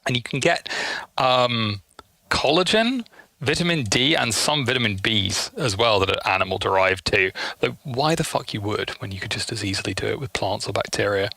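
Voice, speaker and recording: This is a man with a forward, bright voice, chatting as a livestream host. He swears freely and gushes at his audience, with theatrical exasperation in the way he talks. The sound is close and very dry. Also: lowish voice, animated, normal speed, creaky, no echo. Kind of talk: casual chatty livestream talk to chat.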